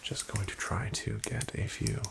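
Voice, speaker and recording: A man whispering an ASMR roleplay as a house-call doctor, hushed and wryly deadpan with soft fillers. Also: on the low side, normal speed, creaky, heavy background noise.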